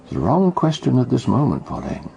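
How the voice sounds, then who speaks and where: An actor playing a patient detective in a radio drama, precise and deliberate, with a dry, gravelly delivery. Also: male voice, medium pitch, huge pitch swings, mellow, full character voice. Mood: measured explaining. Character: measured, patient male detective, gently correcting and teasing.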